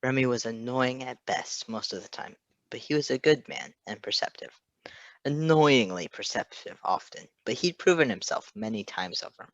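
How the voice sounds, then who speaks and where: A man's voice: mid-range voice, expressive, normal speed, no room sound, lo-fi sound. A man reading a piece of fiction aloud as narrator, in scripted story narration. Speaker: fan fiction reader.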